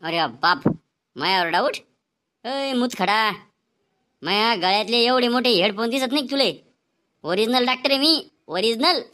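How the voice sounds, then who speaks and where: A man's voice: extremely high pitch, animated, moderate pace, pauses often, bright, forceful tone, full character voice. A man voicing a rude, bragging male quack doctor in a comedy cartoon, scolding in a Vidarbha Marathi accent. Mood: indignant, boastful. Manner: brusque, scolding, boastful.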